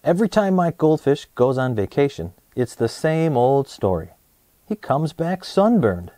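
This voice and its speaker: Animated mellow man reading to kids. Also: fairly high pitch, unhurried, dry recording. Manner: expressive, clearly addressing young children. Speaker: host of a children's read-aloud show. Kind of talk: children's storybook read-aloud and presenting.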